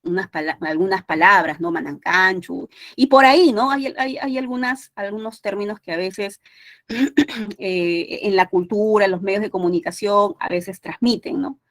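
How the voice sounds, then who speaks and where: Peruvian woman teacher, mid-pitched, conversational lecturing with many tag questions, dry close sound. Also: moderate pace, a bit bright, no room sound. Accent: Peruvian Spanish. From Peru. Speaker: language teacher. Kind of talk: exam prep class lecture.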